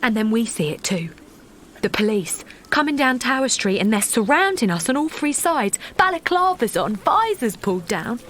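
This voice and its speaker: A woman's voice: a little high, sing-song, normal speed, fairly bright tone. An actress playing an anxious young working-class mother, narrating and speaking colloquially in an audio drama. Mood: alarmed. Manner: emotive, colloquial. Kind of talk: audio drama acting and first-person narration. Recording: outdoor crowd noise behind.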